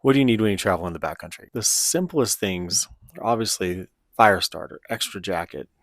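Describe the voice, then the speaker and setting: A man's voice: sits low, lively intonation, a little fast, no room sound, clean studio sound. A man giving a casual, informal product review in a conversational way. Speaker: presenter of radio gear review.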